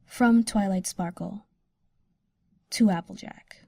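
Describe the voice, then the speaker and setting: A woman's voice: medium pitch, takes it slowly, gravelly, dark tone, husky, no room sound, studio quality. A woman giving scripted narration as the announcer who introduces each letter.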